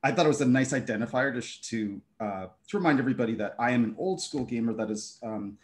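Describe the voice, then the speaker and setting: A man's voice: mid-range voice, fast. Man, middle-aged, native German speaker, game designer, panel talk, hesitant, lots of fillers, video call, home room. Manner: hesitant, many fillers and restarts.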